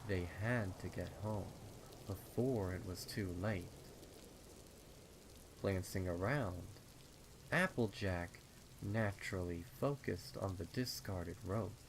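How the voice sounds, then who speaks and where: Slow, low-voiced male horror story narrator. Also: gravelly, a little hiss or hum, low-quality recording. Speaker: fan fiction narrator. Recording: rain and storm sounds behind. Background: rain sound effects.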